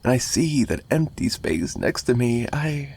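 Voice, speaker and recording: Lovesick mafia kidnapper, played by a male actor: husky, intimate ASMR delivery, menacing yet pleading, halting. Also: medium pitch, sing-song, darkish tone, heavily acted. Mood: yearning, obsessive. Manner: halting, repeats himself, drifting into breathy whispers. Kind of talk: ASMR roleplay, intimate monologue to listener.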